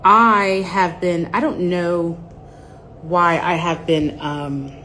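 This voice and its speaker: A low-pitched woman in her forties hosts a live stream with a nasal voice. She chats in a loose, rambling way and greets viewers one by one. A faint ambient hum sits in the background. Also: fairly even intonation, takes it slowly, sharp tone, rough recording. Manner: chatty, greets viewers by name, rambling asides. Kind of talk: casual live-stream chat.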